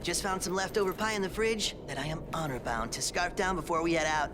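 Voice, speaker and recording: A man's voice: fairly high pitch, a little fast, noisy background, natural voice. A man playing a goofy, carefree teenage mutant turtle in a cartoon video game, using playful surfer slang.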